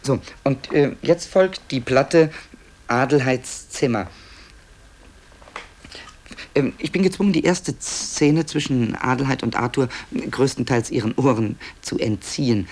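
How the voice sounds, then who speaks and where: A man's voice: medium pitch, a little slow, a little mellow. A man narrating a 1960s radio play as a dryly ironic, confiding author who hesitates and stammers. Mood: dryly explanatory. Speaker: narrator presenting tape recordings.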